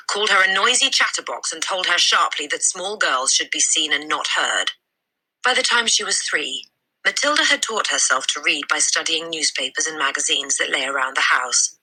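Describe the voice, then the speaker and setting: A woman reading a children's novel aloud as an expressive narrator, leaning on key words with deliberate emphasis. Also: low-pitched, husky, poor audio. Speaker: storybook reader.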